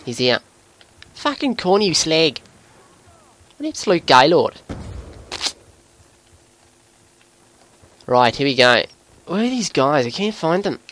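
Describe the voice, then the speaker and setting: High, animated Australian gamer bloke put on by a man. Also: moderate pace, long pauses. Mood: excited, exasperated. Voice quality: voice pushed higher by the accent. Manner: excitable, sweary, breaking into giggles at himself. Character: excitable Australian bloke gamer, jokey larrikin. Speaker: gaming video commentator. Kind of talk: live gameplay commentary.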